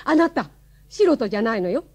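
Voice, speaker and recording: A woman's voice: fairly high pitch, very animated, fairly quick, husky. A woman playing a fretful, nagging middle-aged mother in a Japanese radio drama. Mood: reproachful.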